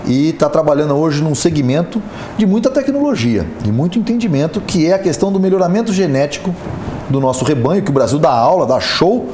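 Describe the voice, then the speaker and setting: A man hosting a casual business talk show, chatty and lively as he introduces the guest and plugs sponsors. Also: fairly high pitch, a little fast. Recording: echoey studio with background noise.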